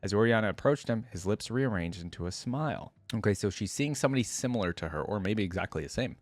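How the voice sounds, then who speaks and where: Low-voiced man in a dry, close recording. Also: expressive, fast, dry recording. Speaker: reaction video host. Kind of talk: reading a story aloud with casual reaction commentary.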